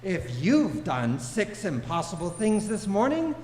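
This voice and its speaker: A man's voice: high-pitched, wildly swooping pitch, measured pace, a little mellow, exaggerated voice. A man playing a droll, matter-of-fact guidebook narrator, giving scripted comic narration in a live radio play. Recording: echoey live hall, faint noise.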